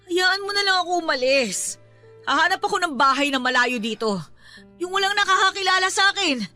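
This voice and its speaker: A high, piercing Filipino-accented female voice acted by a woman plays a distraught pregnant daughter in a radio-style drama. She is defiant and emphatic, pleading with raw feeling, with music underneath. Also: lively intonation, fairly quick, sharp tone, heavily acted. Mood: resolute, pleading.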